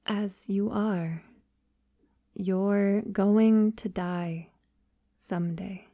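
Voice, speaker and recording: A tender, long-lived female vampire acted by a woman speaks intimately to a lover in an audio roleplay. The voice is dark and soft, very slow, with hesitant pauses and breathy near-whispers. The sound is dry and close. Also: medium pitch, dry recording.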